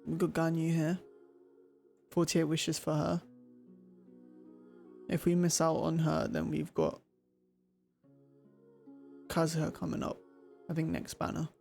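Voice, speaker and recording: Higher-pitched man, creaky, casual streamer. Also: a little slow, pauses often. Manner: muttered, fragmentary thinking aloud. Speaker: game streamer. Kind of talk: casual gameplay commentary. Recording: stream mic under loud game music.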